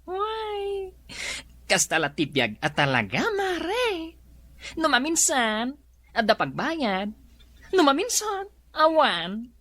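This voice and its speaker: A woman's voice: sits high, huge pitch swings, slow, a bit bright, full character voice. A woman playing a chummy, nosy neighbor with an Ilocano Filipino accent and a singsong delivery in a radio comedy. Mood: teasing.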